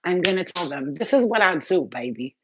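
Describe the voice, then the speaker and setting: A woman's voice: lowish voice, big pitch swings, fairly quick, muffled recording, slight background noise. Blunt, profane woman preaching to viewers. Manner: addresses viewers directly, reads their comments aloud. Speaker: spiritual healer livestream host. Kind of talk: spontaneous spiritual livestream talk.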